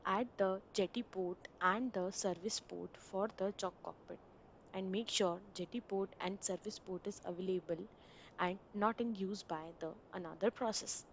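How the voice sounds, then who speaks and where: A woman narrating a screen-recorded software installation walkthrough, giving plain step-by-step instructions over a desktop mic. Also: mid-range voice, normal speed, gravelly, muffled recording, slight background noise.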